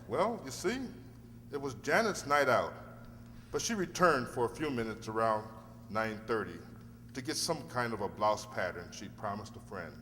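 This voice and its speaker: Man acting a measured lawyer in echoey hall. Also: lowish voice, animated, sharp tone. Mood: explaining. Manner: deliberate, formal, questioning. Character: measured, probing male defence lawyer. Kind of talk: amateur radio drama acting. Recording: echoey hall, faint hum.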